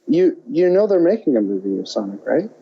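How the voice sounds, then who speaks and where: A man co-hosting a podcast, chatting casually and thinking aloud in halting, hesitant phrases. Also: fairly high pitch, big pitch swings, creaky, husky, muffled recording. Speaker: podcast co-host. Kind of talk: casual chat about movies and games.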